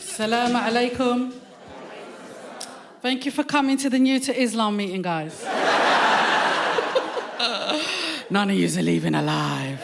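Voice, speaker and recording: London female stand-up, high voice, slow unhurried delivery, noisy room. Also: lively intonation, gravelly. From Hackney, London. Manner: cheeky, slangy and slow-paced. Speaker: stand-up comedian. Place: large theatre with live audience. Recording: stage mic in theatre.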